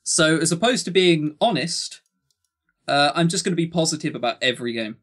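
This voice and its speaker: A man's voice: on the high side, lively intonation, a little fast, fairly bright tone. A man of twenty-two co-hosting a podcast in casual, joking chat, with tongue-in-cheek, self-deprecating banter. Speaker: podcast co-host.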